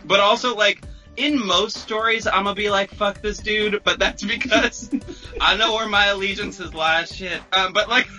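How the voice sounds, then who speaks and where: A man's voice: high voice, steady tone, a little slow, bright, forceful tone, faint music behind, low-quality recording. A man in casual podcast banter, playful and exclamatory, swearing freely. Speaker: podcast co-speaker.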